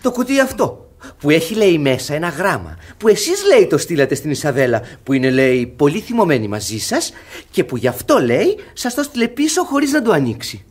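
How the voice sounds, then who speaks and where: An actor playing a cheeky, shrewd young manservant in a radio comedy, brisk and impish. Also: male voice, on the high side, huge pitch swings, breathy edge, heavily acted. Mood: excited, curious. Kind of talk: theatrical radio comedy acting.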